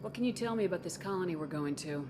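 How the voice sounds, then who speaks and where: Woman acting a deep-voiced, tough military commander. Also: lively intonation, quick pace, noisy background, natural voice. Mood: firm, probing. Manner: blunt, firm, questioning. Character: tough, guarded female military commander, video game hero. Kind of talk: video game cutscene acting. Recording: music behind the voice.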